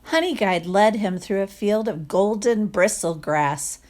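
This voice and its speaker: Lady reading a kids story out loud, real slow, expressive, stops to chat w the kids. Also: medium pitch. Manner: expressive, acts out sound words, asks listeners questions. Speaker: teacher reading a picture book to children. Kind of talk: animated read-aloud storytelling with chatty asides.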